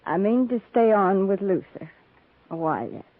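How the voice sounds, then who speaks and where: An actress playing a bitter, grieving young frontier widow, worn hard and stubborn, in a 1950s radio Western. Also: female voice, mid-range voice, lively intonation, moderate pace, no room sound, natural voice. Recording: crackly 1950s radio broadcast, narrow band.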